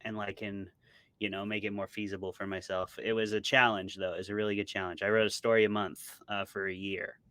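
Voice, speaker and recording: Man, lowish pitch, clear resonant voice, casual interviewee, conversational explaining, close dry sound. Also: level tone, brisk, pushed and bright, no echo. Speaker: interviewed author, podcast co-host.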